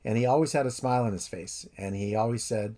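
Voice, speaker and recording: A man's voice: lowish voice, quick pace. A professor in his fifties giving a methodical, recorded introduction to his course. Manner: steady, methodical explainer.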